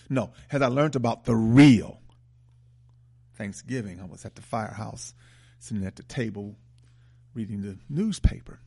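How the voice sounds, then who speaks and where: A man hosting a talk show, giving closing remarks in a halting way and repeating words as he starts over. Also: fairly low pitch, vocal fry, darkish tone, breathy edge. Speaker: radio show host.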